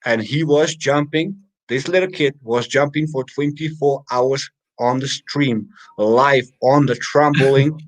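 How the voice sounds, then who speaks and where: A man's voice: medium pitch, a little slow, low-quality recording. A man co-hosting a casual live stream, chatting loosely and reading viewers' comments aloud with plenty of fillers.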